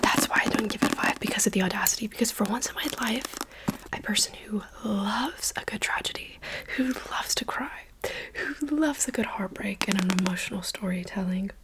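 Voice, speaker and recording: A woman's voice: medium pitch, a little fast, rough recording. A young woman whispering a chatty, dramatic book review in an ASMR style.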